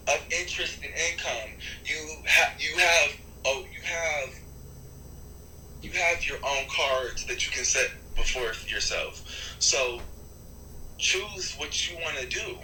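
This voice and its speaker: Animated, bright-voiced man in echoey room. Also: on the high side, takes it slowly, a little hiss or hum. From United States. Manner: animated, restarts often, swears for emphasis. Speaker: rapper and artist, interview guest. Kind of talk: rambling interview answers.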